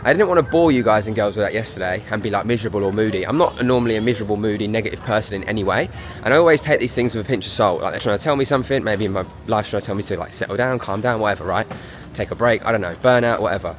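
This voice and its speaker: A chatty man talks casually to his camera, his lowish voice a little stuffed up from a cold. He rambles in an upbeat, friendly way. Faint background noise sits under the handheld recording. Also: rapid-fire. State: congested with a sore throat. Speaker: vlogger. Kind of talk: casual vlog chat.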